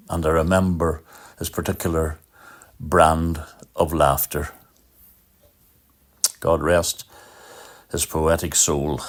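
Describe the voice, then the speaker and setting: A man with an Irish accent, a poet giving a spoken tribute and poetry reading in a measured, reflective way. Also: low voice.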